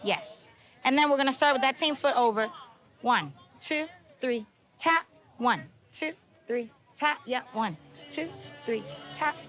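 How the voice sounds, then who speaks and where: A woman's voice: high-pitched, a little slow, long pauses, a bit bright. A woman from New York sharing personal memories and leading a bachata dance lesson. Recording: clear music in background.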